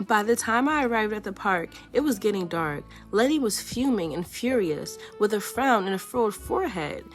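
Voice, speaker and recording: A woman reading a children's picture book aloud in a playful, expressive storytelling way. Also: medium pitch, moderate pace, faint music behind.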